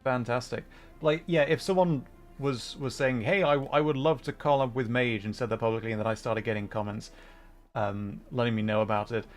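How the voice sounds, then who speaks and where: A man with a medium-pitched voice chats on a gaming livestream. He is thoughtful and self-effacing, often hesitating as he moves between casual conversation and commentary on play. Music plays softly behind him. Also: normal speed. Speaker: livestream host, VTuber gamer. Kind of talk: casual chat mixed with game commentary.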